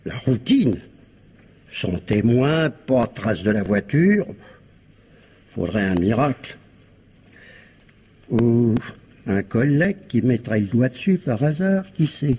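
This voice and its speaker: A man's voice: medium pitch, big pitch swings, slow, long pauses, mellow, husky, full character voice. An actor playing a world-weary, sardonic policeman in a radio crime drama, talking in a slangy, clipped, colloquial way. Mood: casual, resigned. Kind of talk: 1950s-style French radio crime drama acting.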